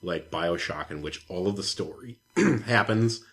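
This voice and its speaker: Guy with a low voice hosting a gaming podcast, wry, kinda mocking, casual. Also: animated, unhurried, creaky, a bit bright. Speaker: podcast host. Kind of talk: casual chat, posing questions and opinions.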